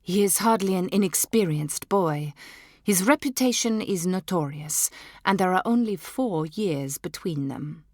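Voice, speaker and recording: A woman's voice: fairly low pitch, lively intonation, measured pace, a little mellow, dry recording, clean studio sound. A woman reading the part of a haughty, imperious old dowager duchess with a cold, cutting manner.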